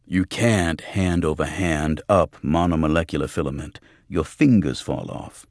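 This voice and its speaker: Guy playing a cool grim street fighter in a cyberpunk audiobook, low voice, wry and flat-out factual, dry sound. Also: a little slow, dry recording. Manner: mirthless, matter-of-fact, wry.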